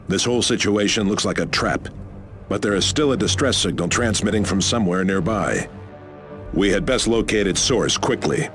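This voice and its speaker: An actor playing a seasoned, dutiful space marine in a video game, speaking formally and steadily like a soldier. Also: male voice, fairly low pitch, lively intonation, moderate pace, music in the background, natural voice. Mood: stoic, resolute. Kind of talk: video game cutscene voice acting.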